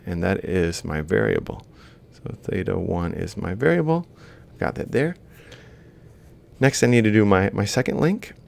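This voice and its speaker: A male lecturer speaks in a deep voice thick with creak. He explains a worked example informally, one step at a time, thinking aloud as he goes. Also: darkish tone. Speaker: university lecturer.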